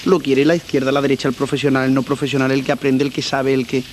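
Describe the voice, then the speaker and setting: A man's voice: medium pitch, level tone, quick pace, a little mellow. Hesitant male interviewer, mid voice. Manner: hesitant, admiring, many restarts. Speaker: local TV interviewer. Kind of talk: interview questions. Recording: faint background hiss, 1980s local TV.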